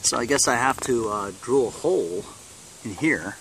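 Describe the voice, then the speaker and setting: A man hosting a repair vlog, talking casually through hands-on work in a halting, thinking-aloud way with frequent "you know" tags. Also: mid-range voice, lively intonation, noisy background. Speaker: host of a DIY repair vlog. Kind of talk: casual hands-on tutorial narration.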